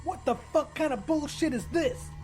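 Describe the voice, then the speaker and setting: A man's voice: very high voice, normal speed, a little mellow, faint music behind, voice pushed up. A man voicing a small, cocky, foul-mouthed stoner in a brash, combative audiobook character voice. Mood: brash, indignant.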